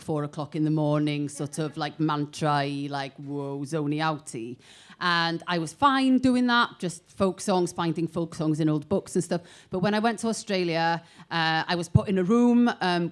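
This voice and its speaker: A woman from Wales, a singer in a band, chatting on a panel and telling stories in an animated way, often laughing and restarting her phrases. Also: lowish voice. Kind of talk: casual panel chat.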